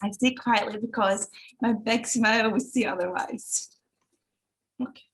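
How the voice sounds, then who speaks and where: A woman's voice: on the high side, fairly even intonation, measured pace, fairly bright tone, low-quality recording. A woman in her thirties, originally from Italy, sharing her personal story on a video call with many hesitations and restarts. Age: 30s. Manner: hesitant, frequent fillers and restarts. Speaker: summit speaker. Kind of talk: personal testimony talk.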